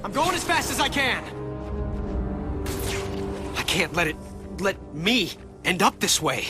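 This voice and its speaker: An actor playing a wisecracking young superhero in a video game, sarcastic and expressive but earnest. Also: male voice, high-pitched, measured pace. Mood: quippy, hurried. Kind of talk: video game hero acting. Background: loud game music.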